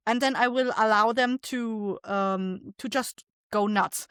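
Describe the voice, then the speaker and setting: A woman speaking as an interview guest, explaining things informally and a little haltingly as she thinks. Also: fairly high pitch, fairly bright tone, clean tone, no echo, clean studio sound. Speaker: podcast guest, audio drama writer, director and voice actor. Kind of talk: casual interview conversation.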